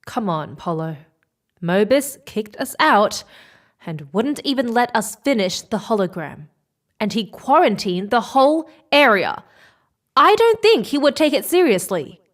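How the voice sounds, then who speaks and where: A woman's voice: on the high side, big pitch swings, measured pace. A woman acting a determined, stubborn space explorer in a sci-fi audio drama, pleading and insistent. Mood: coaxing.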